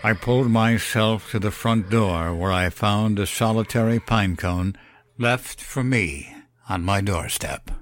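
A man giving a scripted horror narration in a measured, solemn, deliberate way. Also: low voice, no echo, studio quality. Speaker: narrator of a horror fiction podcast. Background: music bed.

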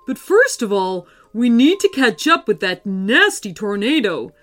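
A woman's voice: fairly high pitch, very animated, a bit bright, soft background music. Woman acting theatrical, kindly old storybook wizard. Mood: grave, instructive. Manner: theatrical, exclamatory, drawn-out words. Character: kindly old male wizard, eager and stern by turns. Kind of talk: children's storybook character voice.

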